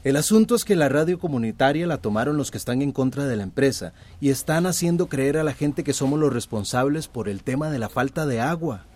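A man's voice: medium pitch, lively intonation, a little fast, few pauses, a little mellow, lightly acted. A man acting an earnest, insistent company loyalist in a radio soap opera. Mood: deferential. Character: earnest, defensive young male company loyalist.

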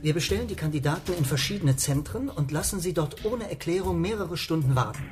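An actor playing a persuasive, self-assured project leader in a radio drama, speaking in an earnest, declarative way. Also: male voice, a little high, animated, a little fast, lightly acted. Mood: calm, decisive. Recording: echoey room, music behind.

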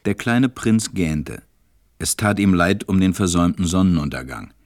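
An actor serving as the narrator of a radio play, reading a children's story in a measured, scripted way. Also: male voice, low-pitched, animated. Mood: measured narration.

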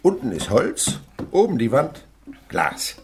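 An actor playing an elderly French insect researcher in a German radio play, lecturing emphatically and commanding those around him. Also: male voice, medium pitch, slow pace, over music. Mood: busy, commanding. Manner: emphatic, animated, instructive. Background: buzzing insects.

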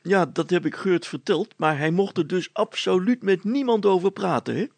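A man's voice: sits high, normal speed, vocal fry, dry recording, voice pushed up. A man voicing a sly, cheerful village poacher, chatty, folksy and mischievous in storybook dialogue.

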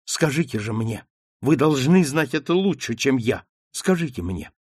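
A man's voice: medium pitch, big pitch swings, moderate pace, slightly rough, dry recording, studio quality. A man voicing an anguished young daughter who pleads with her mother, speaking in an impassioned, rising way in an audiobook reading. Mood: pleading.

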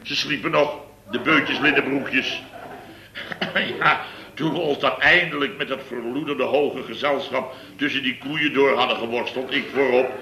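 An actor playing a blustering middle-aged building-firm boss in a 1960s radio comedy, telling stories with big theatrical swings. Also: male voice, medium pitch, fairly even intonation, unhurried, sharp tone. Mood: boisterous. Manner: boisterous storytelling, exaggerated swings. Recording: echoey old radio studio recording.

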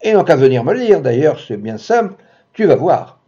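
A man puts on a livid, blustering jealous husband who roars abuse through a locked door. His husky voice leaps high and wide with every insult, and the recording sounds dull and lo-fi. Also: very animated, moderate pace, heavily acted. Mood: raging. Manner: shouting, blustering.

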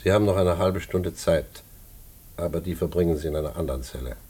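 An actor playing a grave, impassive prison warder in a German radio play, formal and matter-of-fact. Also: male voice, low voice, fast talker, warm tone, breathy edge. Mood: impassive, official.

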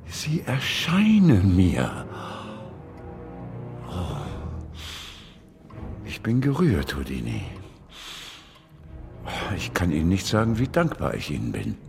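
An actor in a German radio drama playing an elderly, proud British author and fervent spiritualist, theatrical and halting when agitated. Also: male voice, deep voice, big pitch swings, gravelly, music in the background, full character voice. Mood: moved, pleading.

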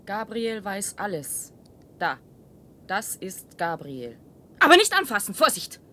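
A woman's voice: huge pitch swings, slow pace, pushed and bright, heavily acted. An actress playing a confused, defensive woman devoted to her device in a radio play, vacant and repetitive. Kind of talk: German radio play acting. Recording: rumbly, noisy archive radio recording.

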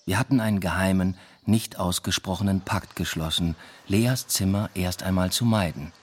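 Low-voiced reserved young man narrating. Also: normal speed. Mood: reflective, understated. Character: reserved young man recounting a new love affair. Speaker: narrator and lead character. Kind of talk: first-person radio drama narration.

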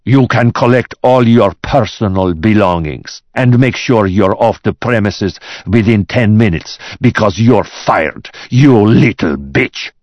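A man's voice: on the low side, unhurried, hardly any pauses, a little mellow, no echo, dull audio, voice pushed up, lightly acted. A man voicing a raging, vindictive business boss in an audiobook, barking orders and spitting words out with venom. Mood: cold menace.

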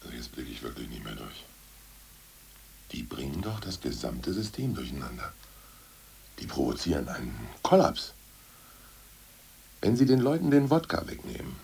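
An actor playing a gruff jailed ex-party boss in a German radio play, cynical yet secretly sentimental, with a gravelly, husky delivery. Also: male voice, rumbling low, dark tone, full character voice. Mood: indignant.

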